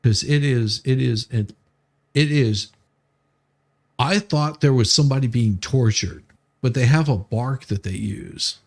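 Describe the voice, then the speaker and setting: Gravelly-voiced man in casual chat. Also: medium pitch, a little mellow. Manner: halting, repeats words as he tells stories.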